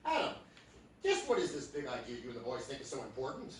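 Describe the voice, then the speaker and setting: An actor playing a blustery secret millionaire posing as a humble lodger, hesitant and quick to bluster, in old-time radio comedy style. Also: male voice, medium pitch, huge pitch swings, distant mic. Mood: weary, prying. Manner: indignant, hesitant, stammering excuses. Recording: echoey theatre stage recorded from afar, noisy.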